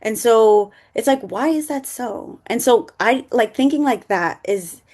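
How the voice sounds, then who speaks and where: A woman's voice: a little high, lively intonation, a little mellow. A woman from the Bronx moderating an online conference panel, talking passionately with many fillers. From the Bronx, New York. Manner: passionate, quick, lots of "like" fillers.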